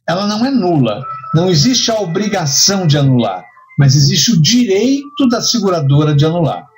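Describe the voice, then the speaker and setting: Brazilian guy teaching a class, kinda high voice, really lively up and down, keeps asking questions and checking you follow. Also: a little fast, faint music behind. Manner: didactic, rhetorical questions and frequent check-ins. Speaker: insurance and risk management instructor. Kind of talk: conversational lecture with hypothetical examples.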